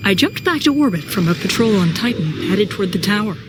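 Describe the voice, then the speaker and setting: A woman's voice: medium pitch, expressive, quick pace, lightly acted. Woman as troubled sci-fi soldier narrator, noisy ambience. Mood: anxious. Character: troubled, brooding sci-fi soldier recounting missions. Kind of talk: first-person audio drama narration. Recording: clear background noise and ambience.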